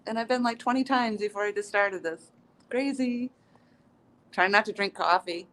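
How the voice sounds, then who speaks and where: A woman's voice: medium pitch, normal speed, fairly bright tone. A woman hosting a casual live-stream tour in an outdoor courtyard, chatty and upbeat with many ums as she greets viewers, sounding stuffy-nosed and sniffly.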